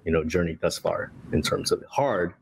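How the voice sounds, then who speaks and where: A man in his thirties with a very low voice chats loosely as an interview guest. He circles back on his words and leans on fillers. A faint background hiss sits under the recording. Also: moderate pace, creaky, poor audio. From Vietnam. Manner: relaxed, many restarts and fillers. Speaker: guest, tea company co-founder. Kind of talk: casual interview conversation.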